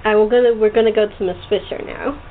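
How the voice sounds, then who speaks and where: A woman's voice: medium pitch, fairly even intonation, fast. A woman hosting a casual chat, laughing often and restarting her sentences. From United States. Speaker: host. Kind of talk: casual chat over a call. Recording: tinny phone-like line, faint noise.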